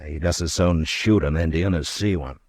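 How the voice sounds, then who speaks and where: Low, measured marshal, dry mic. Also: male voice, darkish tone, no echo. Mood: steady. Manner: measured, firm, low-key. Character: calm, steady frontier marshal. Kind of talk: 1950s radio drama acting.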